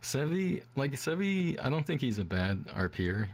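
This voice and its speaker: A man with a deep, smooth voice, speaking modestly and reflectively as an eliminated contestant in a casual online interview on a desktop mic. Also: big pitch swings, moderate pace. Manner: soft-spoken, reflective, modest.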